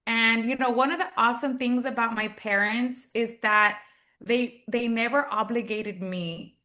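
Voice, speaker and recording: Chatty texas woman in her 30s, kinda high voice, bright, lots of "you know", on a video call. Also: fairly even intonation, a little slow. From Texas, United States. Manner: upbeat, chatty, many fillers. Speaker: guest, business owner. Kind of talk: casual interview on a video call.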